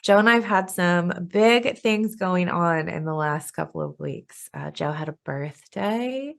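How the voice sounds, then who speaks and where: Bubbly woman in her thirties, lower voice. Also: creaky. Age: 30s. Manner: bubbly, gushing, quick to laugh. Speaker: podcast co-host. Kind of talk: casual book chat.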